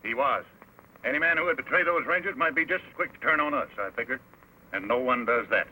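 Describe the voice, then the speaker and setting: An actor playing a ruthless, commanding outlaw chief who barks menacing orders in an old radio western. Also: male voice, mid-range voice, animated, brisk, bright, forceful tone, low-quality recording. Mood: cold menace. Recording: hissy old radio broadcast recording.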